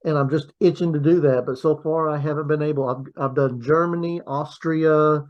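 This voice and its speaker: A man hosting a podcast, chatting in a friendly, halting way and often repeating words. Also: a little high, steady tone, dull audio. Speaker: podcast host. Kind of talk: casual interview chat.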